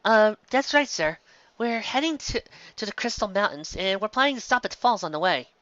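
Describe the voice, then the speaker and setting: A man's voice: sits high, animated, brisk, bright, forceful tone, dry recording, dull audio, pitched above the performer's own voice. A man voicing a polite, hesitant young female pony in a storybook reading. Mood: polite, explaining. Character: studious young unicorn mare, polite and hesitant.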